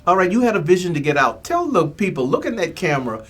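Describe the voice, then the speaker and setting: A man's voice: high voice, big pitch swings, fast, fairly bright tone, breathy edge. A man from the Bahamas hosting a motivational talk show, speaking in preachy slogans. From Bimini, Bahamas. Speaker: host.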